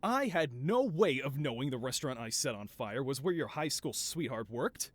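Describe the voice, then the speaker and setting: A man's voice: medium pitch, lively intonation, moderate pace, no echo, lightly acted. A man playing a flamboyant, doting mad scientist supervillain boss in a scripted audio roleplay, with a theatrical, wheedling, self-justifying manner. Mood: wounded, defensive.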